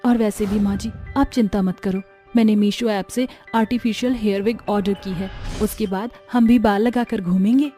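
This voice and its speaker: A woman voicing a young, bald daughter-in-law who complains in a high, pleading voice. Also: steady tone, normal speed, hardly any pauses, warm tone, faint music behind, lightly acted. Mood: indignant.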